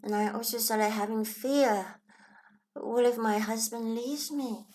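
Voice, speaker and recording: A woman from Hong Kong with a Chinese accent, talking gently and thoughtfully as host of her own channel, sometimes dropping into a soft whisper. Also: on the high side, fairly even intonation, a little slow, low-quality recording. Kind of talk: reflective personal talk.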